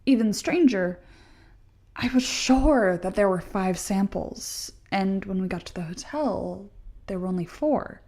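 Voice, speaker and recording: A woman's voice: medium pitch, lively intonation, a little slow, mellow. A woman in an audio play acting an earnest, sceptical young mycology student who speaks precisely and emphatically, sounding unsettled.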